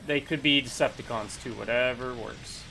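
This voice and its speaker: A man's voice: medium pitch, normal speed, gravelly, sharp tone, slight background noise, low-quality recording. A man chatting casually while playing a co-op game, joking and thinking aloud. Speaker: co-op game player. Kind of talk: casual gameplay chat.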